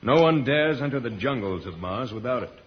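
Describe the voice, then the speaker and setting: Muffled, faintly noisy vintage radio audio of an American actor playing a brave space hero. His mid-pitched voice is earnest and solemn, dramatic in the classic serial manner. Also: lively intonation, brisk, lightly acted. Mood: grave, urgent. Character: brave, grave male space hero, planetary troubleshooter. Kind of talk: old-time radio serial acting.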